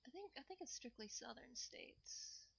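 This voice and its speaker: A woman's voice: sits high, animated, moderate pace, gravelly, fairly bright tone, a little hiss or hum. A woman livestreamer chatting casually to viewers at home while doing chores, often muttering to herself and repeating phrases.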